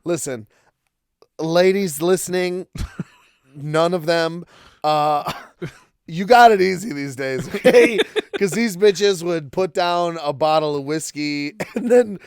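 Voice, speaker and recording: A man's voice: fairly high pitch, slow pace, clean tone, no echo, studio quality. A young man co-hosting a casual podcast, joking crudely and laughing as he tells stories and facts. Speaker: podcast co-host. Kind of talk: casual chat.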